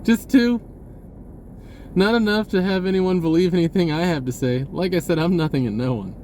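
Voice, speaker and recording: A man talking casually in a rambling monologue, halting and pausing mid-sentence as he goes. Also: high-pitched, darkish tone, slight background noise. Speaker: vlogger.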